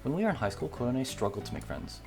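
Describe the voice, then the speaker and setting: Male reader as friendly, teasing demi-human girl in a visual novel; fond, easygoing, lively intonation. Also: medium pitch, fast, gravelly, music in the background, voice pushed up.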